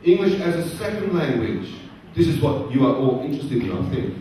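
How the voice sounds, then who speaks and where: A middle-aged man speaking to a live audience at a career event, using slow, simple, repeated phrasing and asking the crowd questions. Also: a little high, animated, dark tone. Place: large conference hall. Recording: very echoey hall, distant voice, faint noise.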